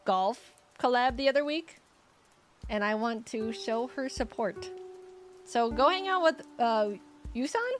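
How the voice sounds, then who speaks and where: A young woman streamer in casual chat with her viewers, rambling and giggly with lots of fillers, her voice getting scratchy. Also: fairly high pitch.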